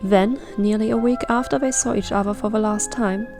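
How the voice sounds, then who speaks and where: Woman narrating a story over soft music. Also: mid-range voice, steady tone, fairly quick. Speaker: fan fiction reader. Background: background music.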